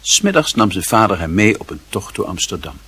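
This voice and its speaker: A man narrating a literary radio play in a grave, measured manner, with a very husky, rough voice. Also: lowish voice, sing-song, sharp tone, no echo.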